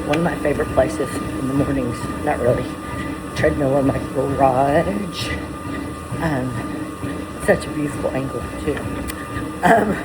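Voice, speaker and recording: A woman in her fifties with a raspy voice, a fitness coach chatting casually to camera in a home vlog, self-deprecating and loose. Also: low voice, noisy background. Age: 50s. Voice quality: raspy, gravelly. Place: home garage on a treadmill.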